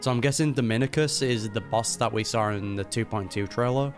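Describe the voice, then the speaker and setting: A man with an average-pitched voice comments casually on a game's story over faint music. He thinks aloud in an informal, musing way, like a reaction streamer. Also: a little fast.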